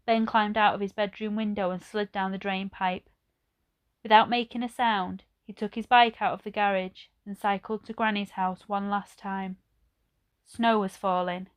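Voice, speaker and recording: Female storybook narrator, teacher reading aloud. Crisp, clean voice at middle pitch, measured and expressive.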